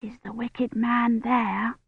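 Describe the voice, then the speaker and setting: Woman acting a high-voiced, eager little girl. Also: unhurried, no echo, narrow, tinny audio, natural voice. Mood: hushed, anxious. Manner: artless, insistent, old-fashioned phrasing. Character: small eager fearless girl, chatty and trusting. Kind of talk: audiobook character acting.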